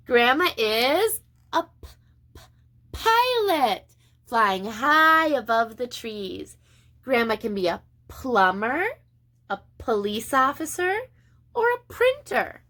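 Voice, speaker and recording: A woman preschool teacher reading a picture book aloud to young children in an expressive, sing-song way with deliberate pauses. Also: fairly high pitch.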